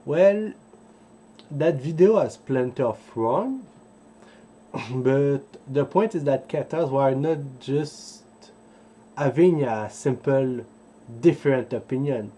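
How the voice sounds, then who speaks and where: A man, an English speaker, hosting an informal history explainer in a halting, digressive way as he searches for words. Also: mid-range voice, dark tone, slight background noise, poor audio. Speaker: host of a history video series. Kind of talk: informal explainer with live translation from a book.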